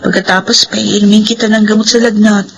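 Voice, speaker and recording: An actress playing a caring young best friend who talks briskly and comfortingly in a radio drama. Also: female voice, mid-range voice, level tone, vocal fry, noisy background, natural voice.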